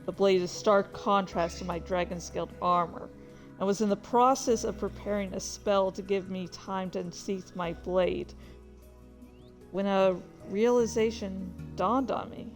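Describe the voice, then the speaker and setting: A woman's voice: medium pitch, fairly even intonation, unhurried, soft background music. A woman reading their own fan fiction aloud as a streamer, moving between story narration and casual asides.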